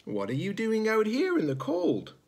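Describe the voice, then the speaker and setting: A man voicing a kindly old woman from a storybook, gentle and thoughtful, with a measured delivery. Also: high voice, huge pitch swings, gravelly, slight background noise, pitched above the performer's own voice, heavily acted.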